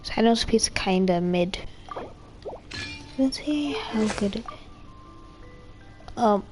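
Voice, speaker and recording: A woman's voice: mid-range voice, animated, slow, music in the background. A woman chatting casually while she plays a video game, as a content creator. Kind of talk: casual gameplay chat.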